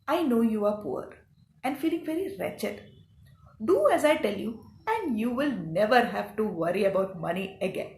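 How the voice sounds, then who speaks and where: A woman voicing a bossy, threatening male demon in a folk tale, commanding and deliberate. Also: medium pitch, expressive, a little mellow, reverberant, lightly acted. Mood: scheming, instructing. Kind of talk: folk tale character acting.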